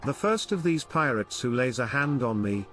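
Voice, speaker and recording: An indignant big harpooner from a classic sea adventure audiobook, voiced by a male narrator, blustering and defiant in his exclamations. He sits at a middling pitch, with faint music underneath. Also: very animated, moderate pace, a bit bright. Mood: defiant, grim. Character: angry, blustering Canadian harpooner, a big man. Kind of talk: dramatised audiobook reading.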